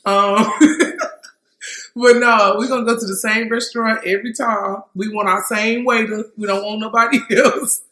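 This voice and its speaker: Bright animated woman in a roomy space. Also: a little high, moderate pace. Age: 30s. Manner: laughs often, animated storytelling. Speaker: podcast co-host. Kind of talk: casual group chat. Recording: echoey room.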